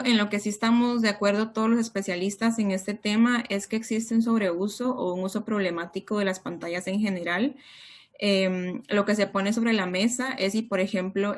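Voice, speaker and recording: A young adult woman, a psychologist guest explaining things in a measured way in a podcast interview. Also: medium pitch. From Peru, raised in Costa Rica.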